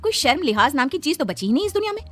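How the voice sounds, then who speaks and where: A woman's voice: high voice, very animated, rapid-fire. A woman voicing a prudish, scolding married daughter-in-law in a cartoon story, dramatic and gossipy. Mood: scandalized.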